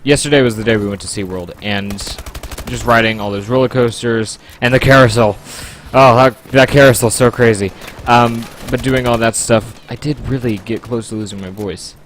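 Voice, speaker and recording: A man's voice: medium pitch, fairly even intonation, moderate pace, a bit bright, slight background noise. A man chatting casually to his audience during a livestream, rambling along with frequent ums.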